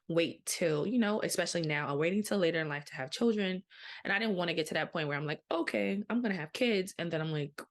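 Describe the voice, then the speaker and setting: A woman in her thirties, a podcast host, talking candidly and casually about her own experience, acting out her thoughts. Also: sits low. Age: 30s. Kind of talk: casual personal storytelling.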